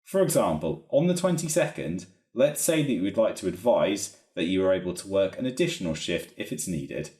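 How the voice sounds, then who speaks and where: The recording is roomy and echoey. A man with a fairly low voice narrates an instructional walkthrough. He explains each step methodically, with lively, varied intonation. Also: brisk, few pauses. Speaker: software trainer.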